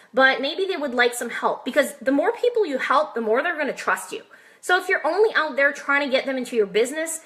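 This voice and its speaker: Bright, high-voiced French Canadian woman. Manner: chatty and direct, peppers points with "right?" and questions to viewers. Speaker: network marketing coach. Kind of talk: live-streamed coaching talk to viewers.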